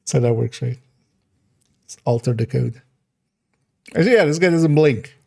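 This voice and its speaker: A man with a hoarse, raspy throat, streaming a game in casual, rambling talk, laughing at himself and restarting his intro. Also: medium pitch, normal speed, darkish tone. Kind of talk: casual gaming stream chat.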